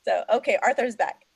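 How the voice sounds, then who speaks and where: A woman's voice: on the high side, creaky, breathy edge, no echo. A woman hosting an online event, chatting casually and moderating a video call with frequent mm-hmms. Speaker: event host.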